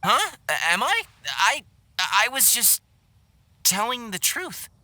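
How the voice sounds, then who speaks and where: An actor playing an earnest, excitable, good-natured young male adventurer in a video game cutscene. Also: very high-pitched, takes it slowly, dry recording, heavily acted. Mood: flustered.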